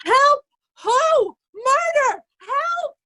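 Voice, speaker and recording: A woman's voice: very high-pitched, expressive, very slow, pushed and bright, full character voice. A woman playing a haughty, cowardly male steward in Shakespearean reader's theatre, affronted and theatrical. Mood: panicked cries.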